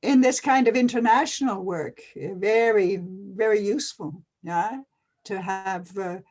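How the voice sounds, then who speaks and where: An older person from Canada, talking casually and hesitantly with many pauses and fillers. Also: mid-range voice, a little mellow. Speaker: retired NGO advisor. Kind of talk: casual video-call conversation.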